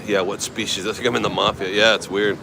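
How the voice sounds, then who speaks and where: A man's voice: on the low side, flat delivery, fast, vocal fry. A middle-aged American man from California, rambling in a laid-back way as he livestreams and answers his viewers. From United States, California. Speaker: travel livestreamer. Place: outdoors at a night market by the beach. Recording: noisy outdoor street recording.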